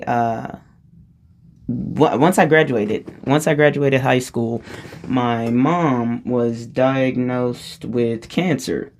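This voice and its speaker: Woman vlogger with a low register voice, loose and rambling, chuckles between thoughts. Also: a little mellow. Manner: rambling, self-interrupting, laughs often mid-sentence. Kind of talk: casual personal storytelling.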